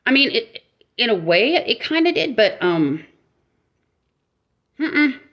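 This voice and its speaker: A woman's voice: on the high side, very animated, slow, lo-fi sound. A middle-aged woman vlogging casually, rambling and joking at herself, talking with a dry mouth that makes speaking hard. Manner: rambling, self-mocking, with frequent restarts and laughs. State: dry mouth, struggling to talk. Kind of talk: casual vlog monologue.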